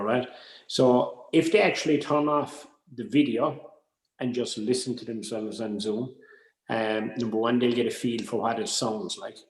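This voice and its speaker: A man's voice: medium pitch, darkish tone. An Irish man explaining his suggestions in casual chat, on roomy, echoing call audio. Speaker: workshop speaker offering suggestions.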